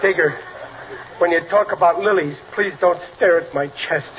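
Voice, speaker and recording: A man's voice: high-pitched, moderate pace, a loud crowd, lightly acted. A male actor playing a cowardly, blustering middle-aged dad in old-time radio comedy, nervous and hesitant. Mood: flustered. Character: jumpy, cowardly, blustering working-class dad. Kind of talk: 1940s-50s radio comedy acting. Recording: noisy, tinny old radio broadcast.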